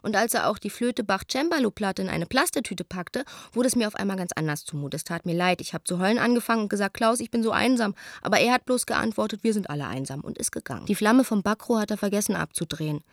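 A woman's voice: medium pitch, animated, fast, hardly any pauses, no room sound, studio quality. An actress playing a proud, lonely young stage actress reading her reflective, confiding letter in a radio play. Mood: subdued. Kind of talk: radio play, letter read as intimate monologue.

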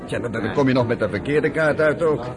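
An actor playing a coarse, jeering British interrogator bantering in a radio drama. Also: male voice, medium pitch, brisk, music in the background, lightly acted. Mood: jeering, jovial.

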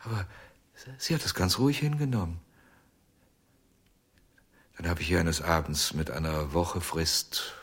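Radio crime drama: haunted, jealous ventriloquist. Deep, breathy, very slow and halting delivery. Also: male voice, a bit bright, full character voice. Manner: halting, haunted, breaking into near-whispers. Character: anguished, jealous male ventriloquist confessing to lost love.

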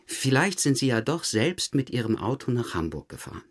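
An actor playing a calmly probing police detective in a radio drama interrogation, measured and insistent. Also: male voice, mid-range voice.

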